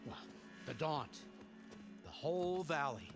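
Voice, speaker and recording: Fussy, hesitant lift operator with swooping pitch. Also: male voice, very animated, takes it slowly, heavily acted. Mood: nervous, apologetic. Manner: hesitant, flustered, gives in reluctantly. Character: nervous, fussy male lift operator bound by orders. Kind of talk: video game dialogue. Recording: game music behind the voice.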